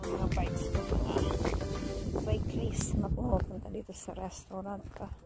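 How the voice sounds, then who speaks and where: Unhurried Filipino woman vlogging. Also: medium pitch, flat delivery, vocal fry. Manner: chatty, unscripted. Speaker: travel vlogger. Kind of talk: casual vlog narration. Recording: loud music behind the voice. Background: music.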